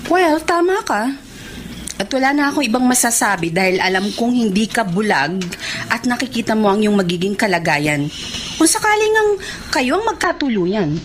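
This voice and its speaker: Expressive young woman, highish voice. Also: normal speed. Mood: resigned. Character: frank, slightly hurt young female friend. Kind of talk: radio drama acting. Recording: faintly noisy radio drama recording.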